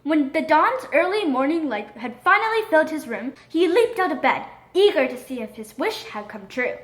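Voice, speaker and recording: A woman narrating a scripted children's storybook in an expressive, dramatic read-aloud manner. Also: sits high. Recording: roomy echo.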